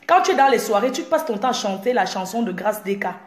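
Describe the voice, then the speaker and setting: A Cameroonian woman speaks straight to camera with a Cameroonian French accent. Her tone is boastful, confrontational and mocking, and her pitch sits in a middle range. The recording has a noticeable roomy echo. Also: animated, quick pace. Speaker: blogger. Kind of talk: direct-to-camera rant.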